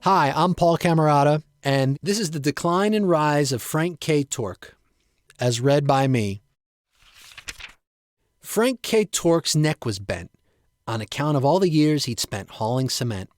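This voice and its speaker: A man's voice: fairly high pitch, animated, unhurried. A man reading his own rhyming narrative poem as a storyteller. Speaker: poet reading own work.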